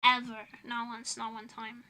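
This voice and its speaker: A woman's voice: fairly high pitch, monotone, measured pace, sharp tone. A young woman streaming as a VTuber, chatting casually with her viewers in a rambling, giggly way.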